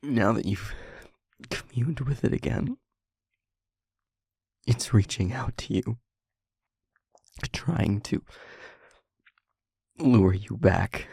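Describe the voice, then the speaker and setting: A man playing a tormented, remorseful demon in love, in an intimate audio roleplay, speaking haltingly in a breathy, husky, often whispered voice and pleading. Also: on the low side, dark tone, no room sound, clean studio sound, full character voice. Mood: urgent pleading. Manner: slow, halting, emotionally pleading.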